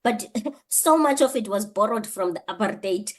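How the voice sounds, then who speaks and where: A woman in her thirties speaks at a middling pitch. She answers as a guest in an earnest, reflective way, working through her ideas aloud with rhetorical questions and frequent restarts. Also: expressive, normal speed. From South Africa. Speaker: guest, PhD candidate and lecturer.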